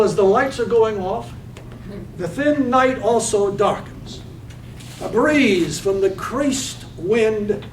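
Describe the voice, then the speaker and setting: A man playing the lyrical, measured narrator in a staged reading of a poetic radio drama. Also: sits high. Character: lyrical, measured storyteller of a night-time town. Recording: roomy, distant mic, faint noise.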